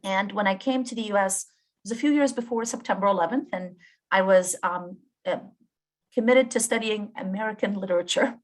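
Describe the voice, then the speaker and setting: A woman's voice: mid-range voice, fairly quick. Effusive woman speaking on a video call. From Jordan. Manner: warm, effusive, with frequent ums. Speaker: guest speaker, professor.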